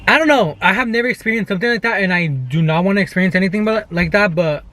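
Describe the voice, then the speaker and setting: A man's voice: high voice, fast, pushed and bright. A young man talking casually to viewers as a vlog co-host, telling stories in a conversational way. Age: young adult. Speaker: vlogger, channel co-host. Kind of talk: casual chatty storytelling vlog.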